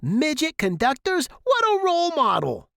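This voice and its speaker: A man's voice: mid-range voice, very animated, a little slow, dry recording. A crude, bullying working-class father, acted out by a male narrator for an audiobook. He is coarse and blustering, with a short temper and slangy speech. The sound is close and dry. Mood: outraged.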